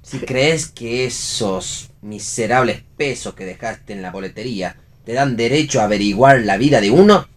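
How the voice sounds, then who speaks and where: An actor playing a blunt, deadpan male stage performer in a radio play reading. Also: mid-range voice, moderate pace, few pauses, natural voice. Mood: confrontational. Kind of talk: radio read theatre dialogue.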